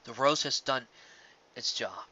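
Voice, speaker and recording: A man voicing a gentle, sad pony father in a storybook reading, speaking in a hushed, tender, earnest way. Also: mid-range voice, unhurried, highs cut off.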